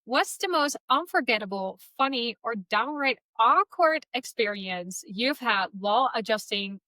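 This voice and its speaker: A woman's voice: a little high, expressive, a little slow, sharp tone, no room sound, low-quality recording. A woman hosting a podcast, asking interview questions in a friendly way and often backing the guest with "yeah". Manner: friendly, frequent "yeah" backchannels. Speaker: podcast host.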